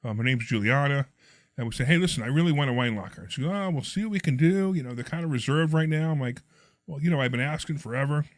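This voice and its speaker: Guest: middle-aged man, froggy hoarse voice, animated quick storyteller, dry close sound. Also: mid-range voice, no room sound. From Pennsylvania. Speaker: podcast guest, real estate investor. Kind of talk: casual storytelling chat.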